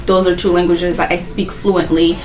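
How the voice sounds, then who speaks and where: A woman's voice: fairly low pitch, level tone, normal speed, a little mellow, soft background music, low-quality recording. A woman in her twenties from Haiti, chatting casually and rambling about herself, laughing often. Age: 20s. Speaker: vlogger. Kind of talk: casual get-to-know-me chat.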